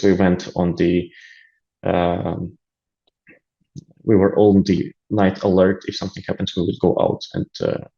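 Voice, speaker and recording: A man's voice: sits low, vocal fry, darkish tone, dull audio. A man with a Latvian accent and Russian as his first language, talking as an interview guest, hesitant with many fillers and restarts. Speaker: interview guest, aid volunteer. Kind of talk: casual interview talk.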